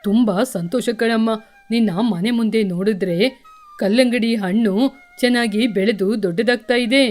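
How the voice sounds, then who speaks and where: Woman voicing a kindly eagle, fairly high voice. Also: normal speed, darkish tone, soft background music, close to an everyday voice. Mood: weary, grateful. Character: kindly eagle elder visiting the birds. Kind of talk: children's cartoon dubbing.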